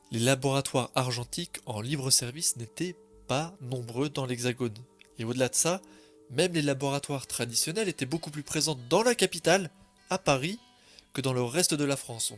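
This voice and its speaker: A man's voice: medium pitch, fairly bright tone. A man narrating a scripted story in a composed, literary storytelling manner.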